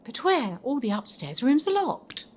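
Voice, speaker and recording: A woman's voice: sits high, very animated, measured pace, noisy background. A woman playing an anxious, curious new governess in an audio drama, earnest and questioning. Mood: uneasy curiosity. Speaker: amateur actor. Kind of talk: community audio drama acting.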